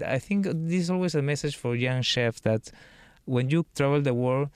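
A Peruvian man, a chef, answering interview questions in a relaxed way, thinking aloud with many fillers. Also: medium pitch, normal speed, smooth, no echo. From Peru. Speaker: chef and cookbook author.

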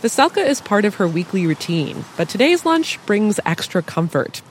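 A woman reporter narrating a news feature in a measured, even way. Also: medium pitch, a little hiss or hum.

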